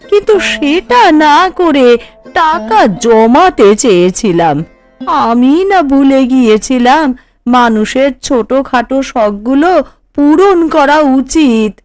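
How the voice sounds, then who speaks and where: Lady doing an old mother-in-law from a village cartoon, really slow n dramatic, voice swings up and down, faint music. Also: high-pitched, expressive, a little mellow. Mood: remorseful. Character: stern, then remorseful elderly mother-in-law in a village family. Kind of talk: cartoon voice acting.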